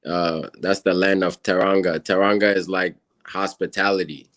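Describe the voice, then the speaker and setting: Senegalese man in his 30s, Wolof native, deep and dry; easygoing guest, rambling. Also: normal speed, no room sound. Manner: relaxed, rambling with many fillers. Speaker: guest musician. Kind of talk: casual interview chat.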